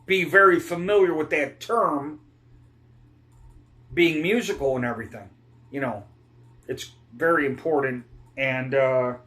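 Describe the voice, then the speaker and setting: A middle-aged man giving a guitar lesson in a rambling, digressive way, often clearing his throat. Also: high-pitched, a bit bright. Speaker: guitar teacher. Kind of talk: casual guitar lesson talk.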